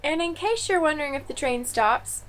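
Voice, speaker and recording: A woman's voice: sits high, expressive, normal speed, fairly bright tone. A woman cheerfully showing the features of a small sleeper train cabin in a casual vlog. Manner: cheerful show-and-tell. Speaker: travel vlogger. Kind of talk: casual tour narration.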